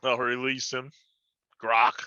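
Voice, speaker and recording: Bright, slow-talking man at game table. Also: medium pitch, flat delivery, clear, resonant tone, dry recording, lo-fi sound. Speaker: player in a tabletop role-playing game. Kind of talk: casual game-table chat.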